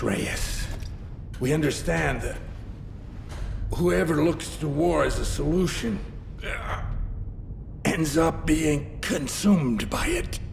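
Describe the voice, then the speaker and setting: A man playing a wounded, grave middle-aged military commander in a video game, gravelly and deliberate, with emphatic dramatic pauses. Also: on the high side, exaggerated voice. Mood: grave, measured. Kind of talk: cinematic video game acting. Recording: echoey reverberant hall, music underneath.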